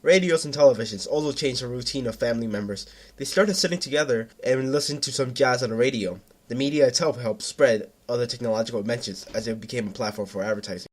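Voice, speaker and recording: A man's voice: medium pitch, fast, few pauses. A man reading scripted narration in the style of a 1940s newsreel. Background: music.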